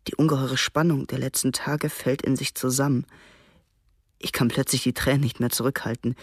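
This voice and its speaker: A man reading a frightened teenage soldier's first-person account in a quiet, measured way for a German radio documentary. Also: medium pitch, fairly even intonation, husky, no room sound, studio quality. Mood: fearful.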